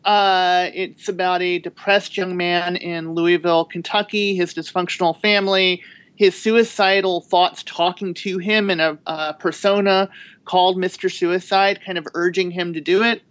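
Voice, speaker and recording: A woman's voice: lowish voice, fairly even intonation, normal speed, smooth, no echo. A woman author talking as a podcast guest in a thoughtful, rambling way, with frequent restarts. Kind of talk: casual interview talk.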